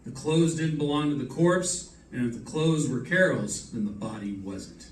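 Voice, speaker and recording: A man's voice: mid-range voice, lively intonation, normal speed, lightly acted. A man playing an unflappable, wry detective in a live radio play, assured and matter-of-fact. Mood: wry confidence. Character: unflappable wry male detective reconstructing a murder. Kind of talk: live old-time radio drama acting. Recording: echoey stage, distant mic, background noise.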